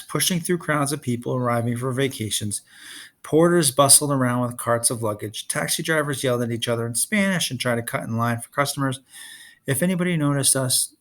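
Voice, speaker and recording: A man narrates a novel aloud for a read-along. His voice sits at a middling pitch with a good deal of gravelly fry, and he reads expressively. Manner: expressive reader who acts out the dialogue.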